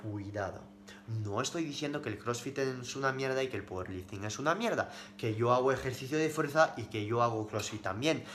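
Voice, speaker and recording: A man's voice: medium pitch, fairly bright tone. A man answering questions casually on a livestream as a fitness coach, speaking bluntly and emphatically, with slang and repeated words for stress.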